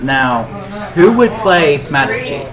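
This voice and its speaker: A man's voice: a little high, expressive, takes it slowly. A man hosting a casual group discussion in an informal, conversational way. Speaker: host of a group discussion show. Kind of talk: casual panel chat. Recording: background crowd chatter.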